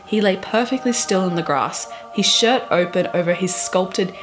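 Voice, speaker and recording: A young adult woman with a theatrical, expressive way of talking, doing dramatic readings and chatty commentary to camera in a bedroom. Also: mid-range voice, normal speed, over music, poor audio. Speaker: book vlogger. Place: small bedroom, indoors.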